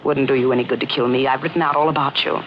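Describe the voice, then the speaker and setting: An actress playing a jealous, scheming mystery novelist who narrates an old-time radio thriller with melodramatic intensity and dramatic pauses. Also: female voice, rumbling low, fairly even intonation, slight background noise. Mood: coolly scheming. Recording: muffled old radio recording, loud music.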